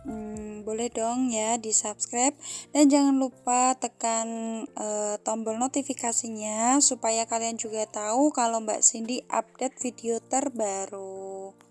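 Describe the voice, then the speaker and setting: A woman's voice: a little high, mellow. Chatty Javanese-accented woman vlogger. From Central Java. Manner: chatty, many fillers, often says "friends". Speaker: housewife vlogger. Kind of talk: casual vlog narration while doing chores. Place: wooden house in a village.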